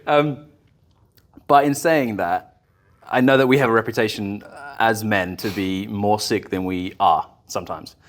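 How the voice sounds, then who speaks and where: A man's voice: lowish voice, a little slow. A man co-hosting a casual podcast, joking and laughing often, sounding worn out after being sick. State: recovering from illness. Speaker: podcast co-host. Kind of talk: casual chat.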